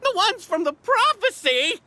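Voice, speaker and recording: A man's voice: extremely high pitch, measured pace, full character voice. A man voicing a polite, eager male toy train conductor in a video game, formal and deferential in manner. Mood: flustered, awed. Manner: formal, courteous, animated. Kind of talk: video game character acting.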